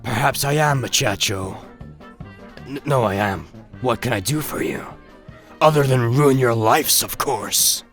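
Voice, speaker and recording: An actor playing a big, smug, mercenary space hero turned villain, taunting and self-important, in a comedic sci-fi radio play. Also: male voice, medium pitch, measured pace, fairly bright tone, faint music behind, natural voice. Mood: smug menace.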